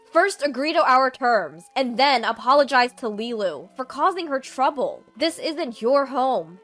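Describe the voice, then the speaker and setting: Stern, fed-up husband played by a woman, high-pitched, emphatic and confrontational story dialogue over light music. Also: a bit bright.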